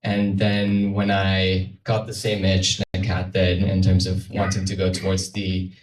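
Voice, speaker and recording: Swiss-born guy on a panel, deep voice, informal and a bit halting, echoey room with some noise. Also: monotone. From Switzerland. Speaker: panel guest from a carbon removal company. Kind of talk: casual spoken panel talk. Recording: roomy, distant mic, noisy event space.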